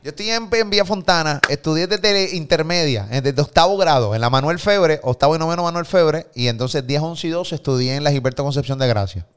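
A man's voice: fairly high pitch, fairly quick, few pauses, a bit bright, clean tone. A middle-aged man from Puerto Rico with a Puerto Rican accent, hosting a studio chat, crude and joking, often laughing. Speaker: podcast host. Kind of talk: casual chat.

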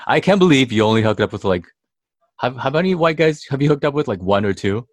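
A man's voice: medium pitch, expressive, quick pace, no room sound. A young man co-hosting a podcast in casual chat, playful and laughing often, whose first language is Chinese. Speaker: podcast co-host.

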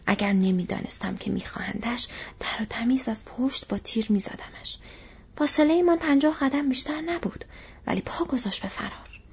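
A woman voicing a big, short-tempered male orc soldier in an audiobook, menacing and barking in a hoarse, breathy voice. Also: a little high, a little mellow, in a whisper, pitched above the performer's own voice, full character voice. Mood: resentful. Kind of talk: audiobook character voice. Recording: dull, muffled lo-fi audio.

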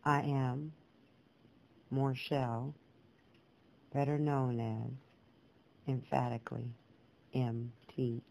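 A woman performs as a featured storyteller and poet. Her delivery is slow and deliberate. She sets out her words in short, separated phrases. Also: a bass voice, level tone, gravelly, a little mellow, rough recording. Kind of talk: spoken word performance with personal introduction.

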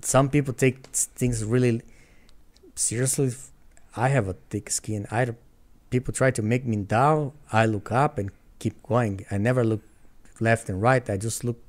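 A man with a Brazilian accent talks through his experiences in a relaxed interview. His English is earnest and full of restarts as he reaches for words. He speaks at a middling pitch for a man. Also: moderate pace. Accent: Brazilian Portuguese. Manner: earnest, frequent restarts, non-native English. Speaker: guest. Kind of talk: casual interview conversation.

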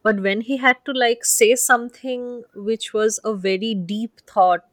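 A woman's voice: fairly high pitch, steady tone, a little slow, dry recording. A woman whose first language is Bengali, co-hosting a podcast and musing reflectively. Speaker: podcast co-host. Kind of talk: casual chat.

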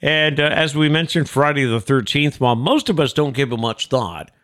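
Chatty nasal middle-aged host. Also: male voice, fairly high pitch, expressive, moderate pace, a bit bright. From South Coast Massachusetts. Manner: chatty, reads segment rundowns. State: congested from a cold. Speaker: show host. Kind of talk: casual morning show chat. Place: small studio.

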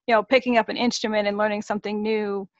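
A woman in her thirties from Massachusetts, a guest singer-songwriter, explains her work in a measured, steady way. Her audio is dry and a little dull. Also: medium pitch, no echo. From Massachusetts, USA. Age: 30s. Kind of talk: interview answers.

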